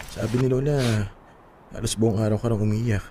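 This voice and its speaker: Actor as an earnest, soothing young Filipino boyfriend. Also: male voice, fairly low pitch, fairly even intonation, moderate pace, mellow, a little hiss or hum, natural voice. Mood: soothing, coaxing. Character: earnest, conciliatory young boyfriend reassuring his fiancée. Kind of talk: radio drama acting. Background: music behind the voice.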